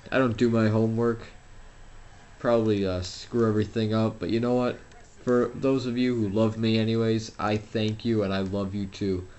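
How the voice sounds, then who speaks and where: A man's voice: lowish voice, darkish tone, muffled recording. A young man commentating a wrestling video game at home, rambling and self-deprecating, with lots of fillers and restarts. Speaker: gameplay commentator. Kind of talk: casual solo game commentary.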